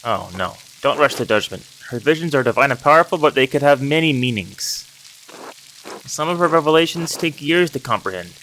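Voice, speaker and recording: A man voicing a solemn, devout priest in a narrative game, speaking in a measured, formal, pious way. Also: mid-range voice, expressive, a bit bright, slight background noise, natural voice, low-quality recording. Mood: hushed, solemn. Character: solemn devout priest, gentle confessor, male. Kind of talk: reading aloud narrative game dialogue. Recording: music and rain behind the voice.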